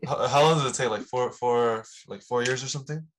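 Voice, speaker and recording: A young man hosts a casual podcast, his voice at an ordinary middle pitch. He talks in a slangy, excitable way and laughs readily at his guest's stories. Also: brisk, slight background noise. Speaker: podcast host. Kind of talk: casual chat.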